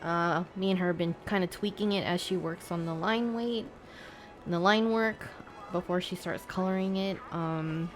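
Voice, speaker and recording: A woman's voice: fairly low pitch, slight background noise. A woman livestreamer chatting casually with her viewers, thinking aloud in a hesitant, rambling way. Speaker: live streamer.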